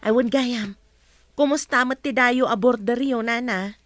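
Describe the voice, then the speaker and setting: A woman's voice: fairly high pitch, a little slow, soft background music, close to an everyday voice. A woman acting a blunt, gossipy storekeeper in an audio drama, with a direct manner and a Filipino Ilocano accent.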